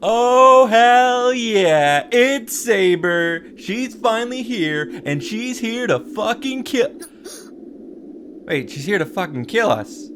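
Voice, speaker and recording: A man's voice: high-pitched, animated, takes it slowly, noisy background. A man narrating a scripted story recap, breaking into sarcastic, exasperated reactions and swearing. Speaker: host of visual novel reaction and summary videos.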